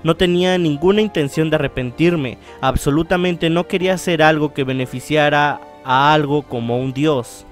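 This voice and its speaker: A man with a slightly high voice hosts a visual novel let's-play. He talks casually and reads on-screen text aloud, stumbling and restarting phrases. Soft game music plays behind him. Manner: halting read-aloud with stumbles and self-corrections. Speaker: gaming channel host.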